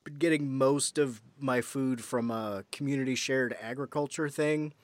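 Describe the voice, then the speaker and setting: A man's voice: medium pitch, fairly even intonation, moderate pace, no room sound. Wry male podcast host. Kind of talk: casual chat.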